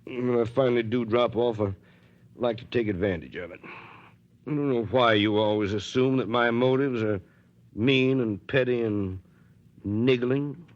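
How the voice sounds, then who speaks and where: An actor playing an easygoing, drily stubborn small-town mayor with a folksy American manner, in 1940s radio drama style. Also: male voice, mid-range voice, lightly acted. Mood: sleepy, grumbling. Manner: folksy, unhurried, drily reasoning. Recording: muffled 1940s radio broadcast recording.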